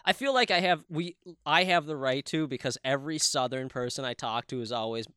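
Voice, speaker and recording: A man's voice: mid-range voice, a little fast, pushed and bright, clear, resonant tone, no room sound. A man with a Minnesotan accent, co-hosting a podcast in casual chat, blunt and emphatic, often restarting his sentences. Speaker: podcast co-host.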